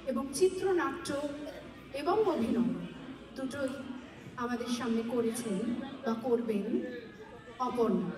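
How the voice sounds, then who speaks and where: A woman announcer giving a formal, measured stage introduction at a community event. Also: fairly high pitch. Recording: echoey hall, distant mic, loud background noise.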